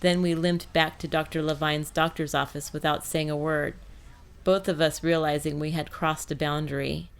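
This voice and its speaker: Low-pitched woman narrating fiction. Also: flat delivery, normal speed, vocal fry, smooth. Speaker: audiobook narrator. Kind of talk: scripted first-person fiction narration.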